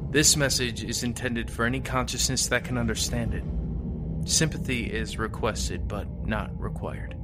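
A man playing a lonely, weary survivor broadcasting after an apocalypse in an audio drama, musing in a halting, understated, resigned way. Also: mid-range voice, fairly even intonation, heavy background noise, close to an everyday voice. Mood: resigned, numb. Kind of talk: audio fiction monologue. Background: music.

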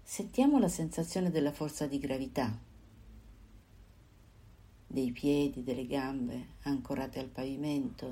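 A woman guiding a body scan meditation, giving calm, unhurried instructions in short phrases with pauses. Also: very deep voice, husky. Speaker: meditation guide.

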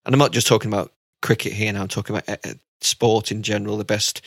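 A man's voice: fairly low pitch, little pitch movement, quick pace, gravelly, dry recording, studio quality. A man hosting a sports podcast, talking in an upbeat, chatty way with some fillers. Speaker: podcast host. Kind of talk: casual podcast chat.

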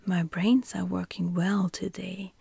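A woman's voice: fairly low pitch, level tone, measured pace, vocal fry, breathy edge, no room sound, exaggerated voice. A woman voicing a proud male scarecrow in a whispered bedtime storybook reading. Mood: pleased. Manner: whispered, soft.

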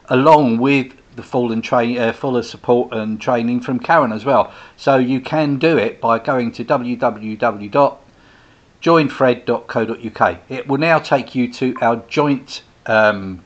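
A man's voice: mid-range voice, dull audio. A man in his sixties hosting a casual solo podcast, rambling and chatty, often restarting his sentences. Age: 60s. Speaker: podcast host.

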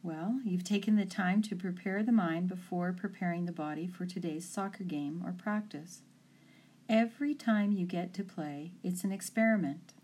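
A woman speaking as a sports mental coach, leading a guided imagery session in a calm, deliberate, instructing way. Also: fairly low pitch. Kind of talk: guided imagery meditation for athletes.

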